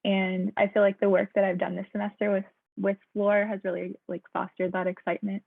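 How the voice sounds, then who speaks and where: A woman's voice: medium pitch, level tone, brisk, gravelly, no room sound. A young American woman giving an informal self-introduction as a graduate intern on an online call. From Pittsburgh area, United States. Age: young adult. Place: online meeting. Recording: thin, close, phone-like call audio.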